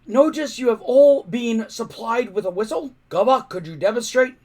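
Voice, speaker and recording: Man acting a loud, commanding Viking chief. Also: extremely high pitch, moderate pace, voice pushed up. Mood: bossy. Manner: commanding, emphatic shouting. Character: booming, bossy Viking chief giving orders.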